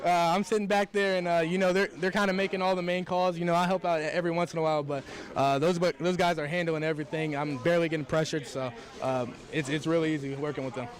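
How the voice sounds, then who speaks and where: Fast-talking young man, higher-pitched voice. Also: steady tone. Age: young adult. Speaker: college football quarterback. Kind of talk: press interview answers.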